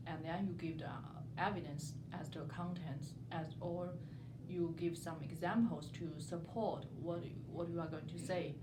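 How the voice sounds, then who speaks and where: A young woman from southern China with a Chinese accent, a non-native speaker giving informal interview answers as a student, haltingly and repeating words as she searches for phrasing. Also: lowish voice. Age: young adult. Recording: echoey room, noticeable background noise.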